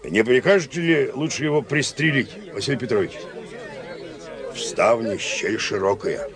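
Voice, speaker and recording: A man playing a rough Cossack captain in a radio drama, gravelly and folksy, pleading and hollering in exasperation. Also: mid-range voice, moderate pace, natural voice. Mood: earnest advising. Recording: crowd and music behind the voice.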